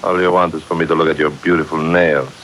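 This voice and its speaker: Deep-voiced, hard crime boss. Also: male voice, little pitch movement, fast talker, a bit bright. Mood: domineering, sneering. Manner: sneering, curt, commanding. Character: bossy, conceited, hard small-time crime boss. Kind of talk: 1940s radio crime acting. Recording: hissy, noisy 1940s radio recording.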